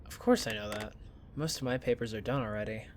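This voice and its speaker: A man playing a pushy, teasing college student in an audio drama, with an expressive, bantering delivery. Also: fairly low pitch, quick pace, slight background noise, natural voice. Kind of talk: naturalistic audio drama acting.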